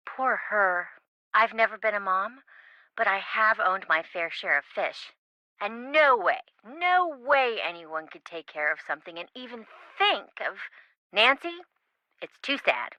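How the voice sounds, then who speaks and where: A woman's voice: mid-range voice, sing-song, unhurried, bright, forceful tone, dry recording, low-quality recording. An actress playing a sassy young woman, a wisecracking best friend in a video game, with a teasing, sarcastic manner. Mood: wry, sympathetic. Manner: teasing, sarcastic, dryly exaggerated.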